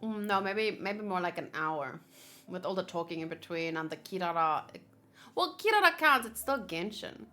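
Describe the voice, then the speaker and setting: A woman's voice: fairly low pitch, animated, normal speed, bright, forceful tone. A woman chatting casually on a game livestream, thinking aloud as she plays. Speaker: game streamer. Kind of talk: casual livestream chat while playing a video game.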